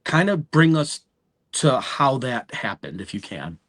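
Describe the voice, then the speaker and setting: A man hosting a podcast, asking relaxed, encouraging questions. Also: mid-range voice, measured pace, dry recording. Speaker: podcast host. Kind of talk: casual interview.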